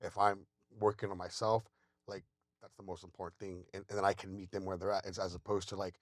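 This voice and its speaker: Low-voiced man hosting a candid podcast chat. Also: flat delivery, fairly quick, no room sound, studio quality. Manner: candid, self-reflective, often restarts his words. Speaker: podcast host. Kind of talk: casual conversation.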